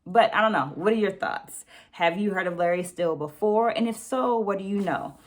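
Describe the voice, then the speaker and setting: A woman hosting a book discussion, speaking in deliberate, emphatic phrases with conversational check-ins and quotes read aloud. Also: medium pitch. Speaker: book review host.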